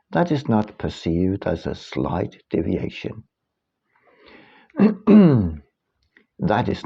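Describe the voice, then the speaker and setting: Male author-narrator, lowish and mellow, deliberate and emphatic with dramatic pauses, reading scripted prose on a slightly muffled lo-fi recording. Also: husky. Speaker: author reading their own book.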